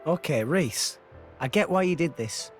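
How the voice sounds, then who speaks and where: An earnest young hero in a video game coaxes and reasons. His voice is high and animated, and faint music sits behind it. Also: unhurried. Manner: earnest, coaxing.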